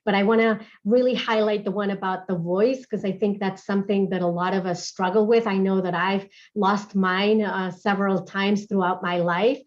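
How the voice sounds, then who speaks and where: A woman's voice: mid-range voice, steady tone, moderate pace, few pauses, lo-fi sound. A woman moderating a panel discussion, affirming each speaker before moving on to the next. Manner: warm, affirming, thanks speakers and hands over questions.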